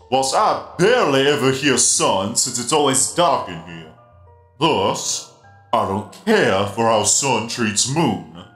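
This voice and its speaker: A genial, theatrical robot spider DJ acted by a man for a cartoon comic dub, playful and teasing. The delivery is unhurried and very animated, in a roomy echo over music. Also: medium pitch. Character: friendly, playful, easily amused giant robot spider DJ. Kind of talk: fan comic dub character acting.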